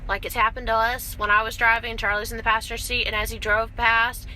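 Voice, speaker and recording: A woman's voice: a little high, a little fast, poor audio. A young woman chatting casually and bluntly for a vlog, bantering with her co-host inside a truck cab. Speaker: team truck driver and video host. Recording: steady vehicle and road noise.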